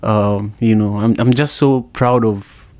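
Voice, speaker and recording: A man's voice: lowish voice, fairly even intonation, takes it slowly, a little mellow. A man hosting a podcast interview, speaking in a reflective, conversational way. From Africa. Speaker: podcast host.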